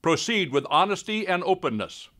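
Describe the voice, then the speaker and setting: An actor playing an officious referee in a training film, making clipped, formal rule announcements. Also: male voice, high voice, sing-song, normal speed, heavy background noise. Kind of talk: scripted training film, referee-style calls.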